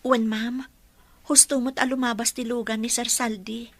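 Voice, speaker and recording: A woman's voice: a little high, level tone, normal speed, no echo. An actress playing a meek, distressed and grateful young mother in an Ilocano radio drama. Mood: uneasy, recounting. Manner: meek, deferential, pleading. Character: distressed, grateful, pregnant poor young woman. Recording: faint background noise.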